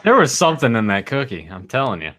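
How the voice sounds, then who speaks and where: A man's voice: medium pitch, sing-song, fast, fairly bright tone, no echo. A man hosting a casual podcast chat, with a joking, teasing manner. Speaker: podcast host.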